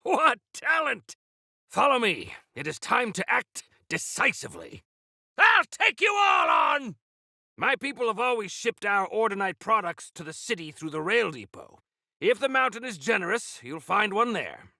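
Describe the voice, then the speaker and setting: An actor playing a spry, jovial yet fierce old rebel elder in a video game, with a gravelly voice and a grandiloquent, mischievous manner. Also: male voice, high-pitched, huge pitch swings, normal speed, pushed and bright, no echo, shouting, studio quality, exaggerated voice. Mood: rousing, fierce, then brisk, jovial. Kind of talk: theatrical video game character dialogue.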